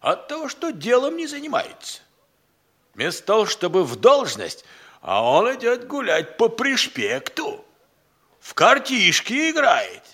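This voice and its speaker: An actor playing a hungry, idle, crafty manservant in an audio play, grumbling and sighing in folksy, speech. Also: male voice, very high-pitched, wildly swooping pitch, measured pace, bright, forceful tone, breathy edge, full character voice. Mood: aggrieved, grumbling. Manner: folksy, drawling, sighing, grumbling, relishing his words. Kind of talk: theatrical audio-play monologue.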